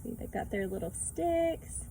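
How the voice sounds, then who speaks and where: A woman's voice: medium pitch, animated, measured pace, mellow, slight background noise, poor audio. A woman reading a picture book aloud to children, with casual asides and simple questions to the listener.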